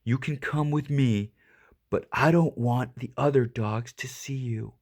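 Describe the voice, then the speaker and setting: A man voicing a big, gruff, blustering tough dog with a soft heart, in a storybook reading. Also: medium pitch, a little slow. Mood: grudging. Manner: growly, blustering.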